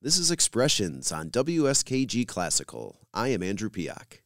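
A man's voice: on the low side, huge pitch swings, normal speed, no room sound, clean studio sound. A man hosting a radio program, reading scripted introductions and credits as a presenter.

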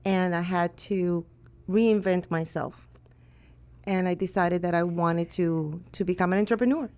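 A Cuban-born woman answers interview questions in a measured, unhurried way. Her voice sits somewhat low for a woman, and she pauses now and then to find her words. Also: fairly even intonation, darkish tone. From Cuba. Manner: measured, with some hesitations. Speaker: school owner and director, guest.

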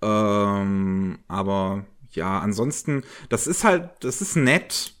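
Male co-host, relaxed review chat, hesitant delivery with lots of fillers. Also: fairly low pitch, takes it slowly. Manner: hesitant, searching for words with many fillers. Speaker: podcast co-host reviewing anime.

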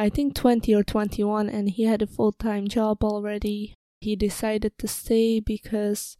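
Mellow young woman podcasting. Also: medium pitch, dry recording. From Czech Republic, moved to Ireland at twelve. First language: Czech. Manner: slightly nervous, hesitant, informal. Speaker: podcast host. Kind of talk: casual personal podcast monologue.

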